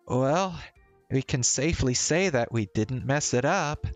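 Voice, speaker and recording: A man's voice: mid-range voice, animated, unhurried, faint music behind, voice pushed up, lightly acted. A man voicing a tired, teasing and troubled young woman in a visual novel, with a casual, hesitant delivery. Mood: playful, dry. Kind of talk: visual novel dialogue read-aloud.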